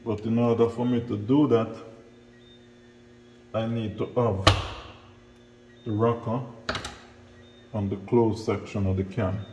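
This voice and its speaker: Slow, echoey middle-aged man. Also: lowish voice, flat delivery, dull audio. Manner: plain-spoken, explanatory, step by step. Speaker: mechanic giving instruction. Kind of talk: hands-on tutorial narration. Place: small bare-walled indoor auto workshop. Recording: echoey hard-walled room, noticeable background noise.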